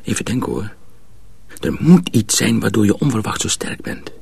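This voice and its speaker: An actor playing an earnest, knowing mill hand in a radio drama, confiding and deliberate, with a raspy voice. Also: male voice, lowish voice, very animated, darkish tone, dry recording, heavily acted. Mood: intrigued. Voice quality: raspy, gravelly.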